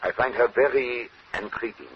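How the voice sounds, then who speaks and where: An actor playing a courteous, self-assured Belgian detective with a French-speaking Belgian accent, in a formal, theatrical old radio drama style. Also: male voice, mid-range voice, normal speed, close to an everyday voice. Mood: urbane, confident. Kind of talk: vintage radio drama acting. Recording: muffled, noisy old radio recording.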